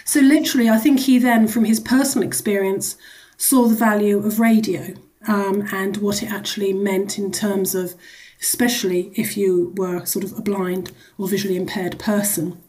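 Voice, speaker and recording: Woman, mid-pitched voice, charity executive, radio interview guest, conversational, wordy, explanatory with asides. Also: steady tone, normal speed, a little mellow.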